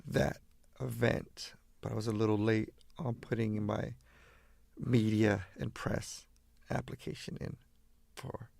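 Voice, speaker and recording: A man's voice: medium pitch, gravelly, no echo, clean studio sound. A man from Southern California hosting as a radio disc jockey, chatting in a laid-back, rambling, hesitant way. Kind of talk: laid-back radio chat between records. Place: garage.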